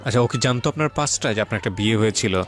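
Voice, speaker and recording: A man's voice: lowish voice, very fast, fairly bright tone, a faint crowd. A man with a Bangladeshi accent hosting a radio interview, asking probing questions in a conversational way and mixing in English words. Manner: mixes English words into speech. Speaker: radio show host interviewing a guest. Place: radio studio. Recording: faint music behind. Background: faint music.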